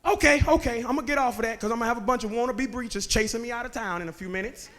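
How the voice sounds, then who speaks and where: A man, preaching a sermon that moves between joking talk and shouted calls to the men. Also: very high voice, racing. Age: 30s. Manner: conversational preaching that builds to shouting, with laughs and rhetorical questions. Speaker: guest preacher. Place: church sanctuary.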